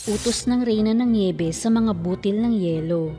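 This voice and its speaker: Casting: female storybook narrator, mellow tone, medium pitch, scripted delivery, long reverb. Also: measured pace. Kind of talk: scripted children's fairy tale narration. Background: faint music.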